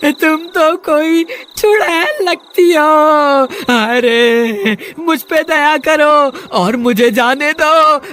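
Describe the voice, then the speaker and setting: A man acting a gullible, slow-talking village fellow. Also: very high voice, expressive, bright, forceful tone, exaggerated voice. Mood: terrified, pleading. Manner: exclaiming, naive, melodramatic. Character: gullible, lovestruck village man, easily frightened. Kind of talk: cartoon horror-comedy acting.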